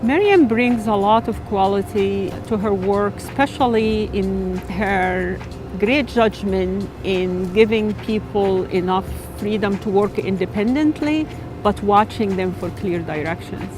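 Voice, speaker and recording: A woman's voice: medium pitch, measured pace, rough recording. A woman giving an interview-style testimonial about a colleague. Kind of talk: interview testimonial for a tribute film. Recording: music behind the voice.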